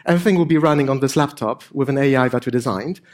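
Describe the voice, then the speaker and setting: A middle-aged man with a French accent and a hint of Polish, giving an informal stage talk in a hesitant, deadpan joking way. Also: mid-range voice, fast. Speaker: conference speaker, AI researcher and improviser. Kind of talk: informal stage presentation with dry humour. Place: on stage at a conference.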